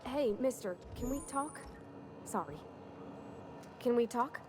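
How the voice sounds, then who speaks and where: An actress playing a shy, earnest young mechanic in a video game, with a hesitant, folksy and apologetic way of talking. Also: female voice, fairly high pitch, lively intonation, slow, heavy background noise. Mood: nervous, apologetic. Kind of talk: video game character acting.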